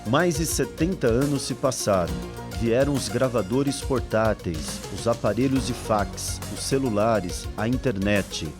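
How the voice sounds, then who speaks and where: A man's voice: mid-range voice, lively intonation, unhurried. A man with a Brazilian accent reading scripted documentary narration. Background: instrumental music.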